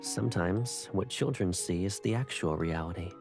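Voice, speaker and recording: An actor playing a teasing, gentle young man in a video game. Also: low-pitched, normal speed, vocal fry. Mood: calm, earnest. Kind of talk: video game dialogue acting. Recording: music behind the voice. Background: background music.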